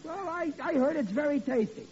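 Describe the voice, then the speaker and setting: A bumbling comic father who stammers and blusters, his voice high but mellow. The sound is dull and lo-fi with faint hiss, like an old radio play. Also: expressive, a little fast. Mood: blustering. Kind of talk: 1940s radio acting.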